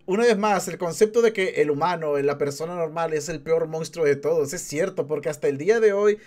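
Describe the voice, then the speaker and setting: Casting: male reaction streamer, distinctly high-pitched voice, casual off-the-cuff commentary. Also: a little fast, a little mellow.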